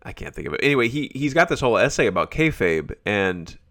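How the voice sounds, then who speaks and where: An American man chatting casually as a podcast guest, often halting as he searches for words. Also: mid-range voice, very animated, a bit bright, dry recording, studio quality. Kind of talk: casual chat.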